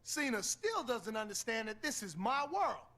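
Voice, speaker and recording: Scheming wrestling villain with swooping pitch. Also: male voice, very animated, moderate pace, pushed and bright, slight background noise, full character voice. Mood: smug, menacing. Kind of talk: scripted video game cutscene acting.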